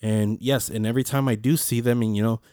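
A man's voice: fairly even intonation, fast talker, mellow, clean tone, no echo. Earnest man rambling in a dry room. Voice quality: deep voice. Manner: rambling, repeats words and restarts often. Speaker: podcast host. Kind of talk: casual reflective monologue.

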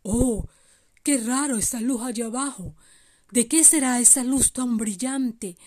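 Woman playing a hearty, kindly Santa Claus, high-voiced. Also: a little slow, mellow. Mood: curious. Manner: hearty, exclaiming, reassuring. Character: jolly, kindly old male Santa Claus. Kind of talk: children's storytelling character voice.

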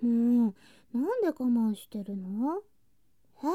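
A woman acts out a sweet, teasing younger girl cousin at bedtime in an ASMR voice drama. Her voice is high, soft and breathy, often slipping into whispers. She talks slowly and drowsily, coaxing with childlike affection, in very dry, close sound. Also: big pitch swings, dry recording, phone-quality sound. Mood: drowsy coaxing. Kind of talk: ASMR situation voice drama, intimate bedtime roleplay.

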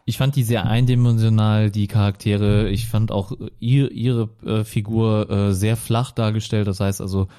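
A man co-hosting a podcast, giving his opinion of a film in a halting way with many fillers. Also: lowish voice, fairly even intonation, mellow, smooth. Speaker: podcast co-host. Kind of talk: casual film review chat.

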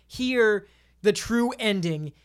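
Casting: female podcast panelist, mid-pitched, opinionated, explains at length in relaxed conversational style. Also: slow, smooth. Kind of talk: casual podcast discussion.

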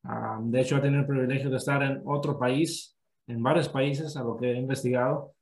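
A man hosting an interview, polite and a little hesitant, filling pauses with "este". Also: medium pitch, fairly even intonation, creaky. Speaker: interview host. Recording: echoey room.

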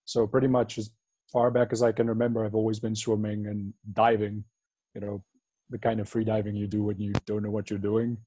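A man in his thirties from South Africa tells his story in a relaxed, rambling way. His voice stays flat and mellow, with little pitch movement. The room sounds dry and close. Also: fairly quick, husky, no room sound, rough recording. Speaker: guest, freediving instructor. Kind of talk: casual interview.

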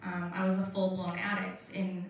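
A woman's voice: fairly low pitch, monotone, moderate pace. A woman in her thirties gives a candid, wry testimony at a church service. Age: 30s. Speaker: program resident giving testimony. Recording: distant mic, heavy room echo, faint noise.

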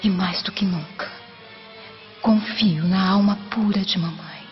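An actress playing a devout, sensitive young Roman daughter in a radio drama, speaking in a theatrical manner. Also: female voice, mid-range voice, measured pace, close to an everyday voice. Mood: anguished, pleading. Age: young adult. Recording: muffled radio drama over clear music.

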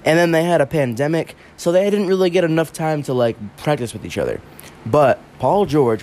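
A man's voice: mid-range voice, darkish tone. A congested man hosting his own show, delivering an opinionated, emphatic sports monologue. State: congested from allergies. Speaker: podcast host.

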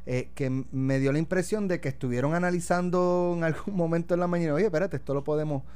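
A man hosting a talk show, speaking in a quick conversational way and reading out listener messages. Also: a little high, dark tone, clear, resonant tone. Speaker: host.

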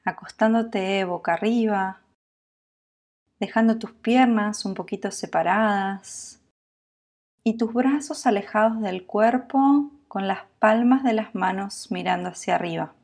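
A woman with a Latin American Spanish accent guiding a sleep meditation in a calm, soothing, unhurried manner, leaving long pauses. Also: mid-range voice, gravelly. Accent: Latin American Spanish with voseo. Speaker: yoga nidra meditation guide.

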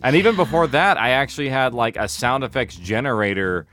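A man's voice: mid-range voice, fairly quick, fairly bright tone. A man chatting casually in an interview as a producer and director, explaining at length with hesitant starts. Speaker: audio fiction producer and director, interview guest.

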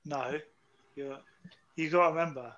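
A man's voice: fairly high pitch, fairly even intonation, normal speed, a bit bright, lo-fi sound. A British man co-hosting a podcast in casual comic banter, joking in a crude, irreverent way. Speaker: podcast co-host.

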